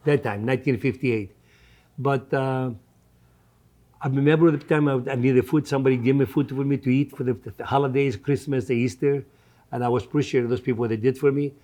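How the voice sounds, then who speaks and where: A man's voice: medium pitch, mellow. A man in his seventies from Greece, a native Greek speaker with a Greek accent and non-native grammar, talking conversationally in an interview as a restaurant owner and often repeating his phrases. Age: 70s.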